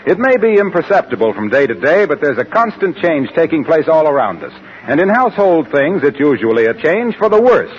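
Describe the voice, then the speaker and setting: A man's voice: fairly high pitch, sing-song, brisk, slight background noise. A man announcing in 1940s radio style, reading scripted copy in a polished, formal, emphatic way. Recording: dull 1940s radio recording.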